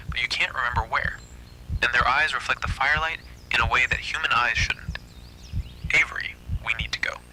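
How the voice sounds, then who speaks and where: A man narrating a scripted first-person horror story in a hushed, breathy, suspenseful delivery. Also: medium pitch, slight background noise, low-quality recording.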